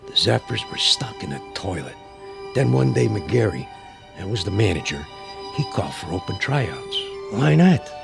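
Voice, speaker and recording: A man's voice: lowish voice, big pitch swings, moderate pace, vocal fry, music in the background, full character voice. An older American man acting in a radio drama as a nostalgic, rambling old baseball fan with a folksy manner. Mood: folksy, reminiscing.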